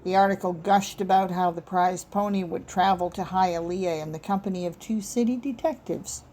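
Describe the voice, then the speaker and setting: A woman's voice: fairly low pitch, moderate pace, dark tone, a little hiss or hum. A woman author reading her own short fiction as scripted story narration.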